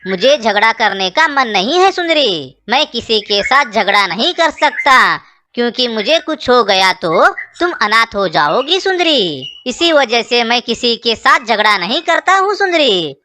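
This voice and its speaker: Woman voicing a high, bright, pleading cartoon crow husband. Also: exaggerated voice. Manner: pleading, melodramatic. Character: hapless, whining, henpecked male cartoon crow husband. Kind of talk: children's cartoon story dialogue.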